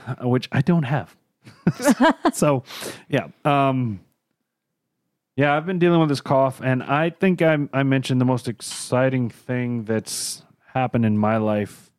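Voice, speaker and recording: A man's voice: mid-range voice, measured pace, darkish tone, dry recording, studio quality. A man co-hosting a casual podcast, rambling and losing his train of thought, with a lingering cough and tickly throat. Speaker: podcast co-host. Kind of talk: casual chat.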